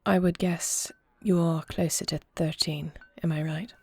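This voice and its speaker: Husky, low-voiced warrior princess, acted by a woman. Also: steady tone, no room sound, exaggerated voice. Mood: gentle, probing. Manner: measured, formal, solemn phrasing. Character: earnest warrior princess, composed, compassionate, commanding. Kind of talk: scripted fantasy audio roleplay.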